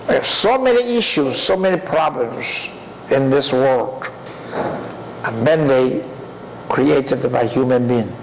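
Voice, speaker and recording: An older man gives a university lecture in a deliberate way, often asking the class rhetorical questions. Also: medium pitch, expressive, slight background noise, low-quality recording. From Taiwan.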